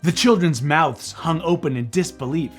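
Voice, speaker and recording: A man's voice: fairly high pitch, moderate pace, soft background music. A man reading a children's book aloud as theatrical narration, dramatic and playful.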